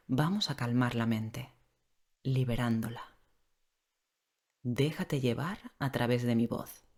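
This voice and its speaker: A woman leading a guided sleep meditation in a gentle, unhurried way with long pauses, giving slow, soothing instructions. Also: rumbling low. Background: relaxing rain sound and soft music.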